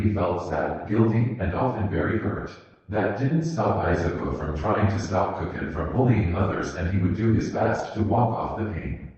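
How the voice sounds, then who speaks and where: Deep-voiced man narrating in a very echoey room. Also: a little mellow. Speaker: fan fiction narrator. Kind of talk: scripted audiobook-style narration. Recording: muffled, very echoey room recording.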